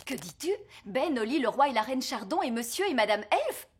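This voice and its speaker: A woman voicing a house-proud, motherly elf in a children's cartoon, expressive and exclamatory. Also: fairly high pitch, a little fast, a little hiss or hum. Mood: alarmed. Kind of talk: animated children's cartoon dub.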